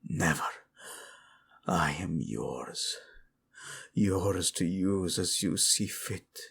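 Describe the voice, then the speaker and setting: Man acting a husky, slow-spoken romantic prince. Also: low voice, fairly bright tone, exaggerated voice. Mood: adoring, breathy. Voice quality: breathy, husky. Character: devoted, passionate young prince and husband. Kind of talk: intimate romantic audio roleplay.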